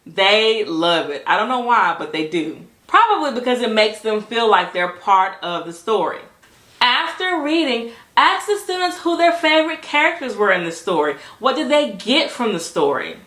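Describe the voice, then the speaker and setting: A woman teacher presents a lesson for educators in a bright, forward voice that sits on the high side. She phrases her points deliberately and with emphasis, often posing a question before answering it. The room adds a noticeable echo around her. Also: very animated. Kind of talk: instructional talk to camera for educators.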